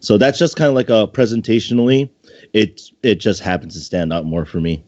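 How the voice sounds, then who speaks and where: A laid-back man with a lowish voice chats off the cuff as a contest judge. He has a joking, informal way of talking, on a dry, dull lo-fi recording. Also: lively intonation, brisk, a little mellow, no room sound. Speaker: online contest co-host and secondary judge. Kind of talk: casual unscripted chat.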